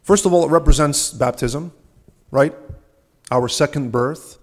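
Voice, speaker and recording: A man's voice: on the high side, a little mellow. Young man preaching from a church lectern. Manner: earnest, measured preaching with rhetorical questions. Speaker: subdeacon preaching. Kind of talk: sermon. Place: large ornate church, at a lectern. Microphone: lectern microphone.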